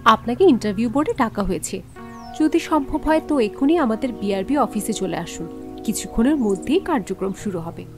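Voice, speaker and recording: A woman's voice: fairly high pitch, expressive, fairly quick, over music. A woman playing a polite, businesslike young company receptionist in a cartoon drama. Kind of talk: acted cartoon drama dialogue.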